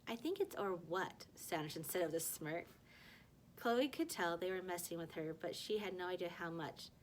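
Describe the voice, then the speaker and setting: A woman reading a children's novel aloud like a teacher to a class, with animated dialogue and the odd stumble. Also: fairly low pitch, moderate pace, slight background noise, low-quality recording. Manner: animated dialogue, stumbles over words and corrects herself.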